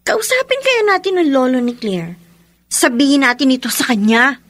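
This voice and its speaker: A woman playing a loyal, concerned young best friend in a Filipino radio drama, expressive and sympathetic. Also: high voice, moderate pace, dry recording. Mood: eager, plotting.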